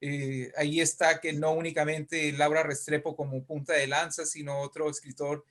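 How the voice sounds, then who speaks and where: A man's voice: a little high, level tone, normal speed, a bit bright. A Mexican man speaks as a guest in a casual literary discussion. His manner is courteous and reflective, and he often checks in with his listeners as he talks. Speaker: guest writer and poet.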